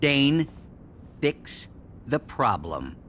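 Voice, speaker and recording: A man acting as a stern corporate board member in a video game, formal, measured and admonishing. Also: mid-range voice, sing-song, fairly bright tone, a little hiss or hum, exaggerated voice.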